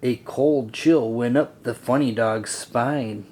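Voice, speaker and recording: A man reading a rhyming children's story aloud in an expressive, deliberate, sing-song way. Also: mid-range voice, slight background noise. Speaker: storybook reader. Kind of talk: children's read-aloud narration.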